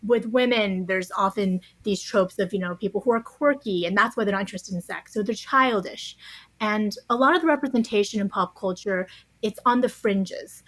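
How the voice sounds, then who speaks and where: A woman's voice: medium pitch, moderate pace, a bit bright, no room sound, poor audio. A woman speaking as an interview guest, explaining things in an articulate, conversational way. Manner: articulate, explanatory, often checks with "you know" and "right?". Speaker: podcast guest, author interviewed on a book.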